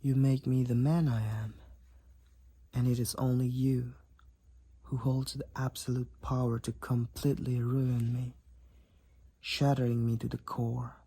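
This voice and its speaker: A man performs a devoted, protective vampire boyfriend in an intimate audio drama. His voice is very husky and breathy, with a gravelly creak in it. He speaks slowly and formally, in tender, old-fashioned courtly language. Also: mid-range voice, warm tone. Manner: archaic, formal, tender, slow and deliberate wording.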